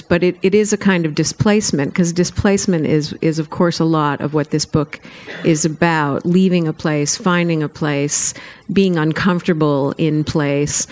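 Low-voiced woman interviewer, engaged. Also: a little mellow. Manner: engaged, poses long framing questions. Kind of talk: conversational literary interview.

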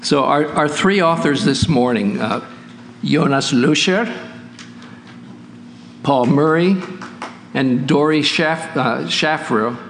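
A man's voice: fairly high pitch, takes it slowly, creaky, pauses often, fairly bright tone, a little hiss or hum. A man moderating a book festival author panel, speaking with frequent fillers and restarts. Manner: hesitant, many uh fillers and restarts.

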